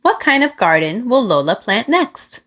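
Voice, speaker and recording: A woman reading a children's picture book aloud, with expressive, deliberate phrasing aimed at young listeners. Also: on the high side. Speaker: children's storybook reader.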